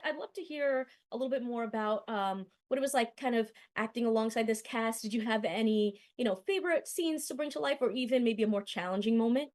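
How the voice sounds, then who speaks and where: Higher-voiced woman interviewer. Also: a little fast, hardly any pauses, a little mellow. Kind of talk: entertainment interview.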